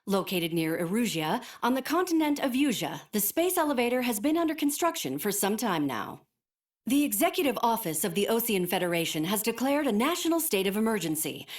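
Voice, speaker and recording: An actress playing a composed female news anchor delivering breaking news in a crisp, formal way. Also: on the high side, sing-song, brisk. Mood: urgent.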